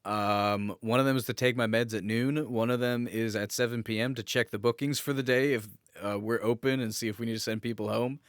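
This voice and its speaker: A man's voice: medium pitch, fairly even intonation, a little fast, hardly any pauses, smooth, no echo, studio quality. A man co-hosting a podcast with casual, joking and sometimes crude banter. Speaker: podcast co-host.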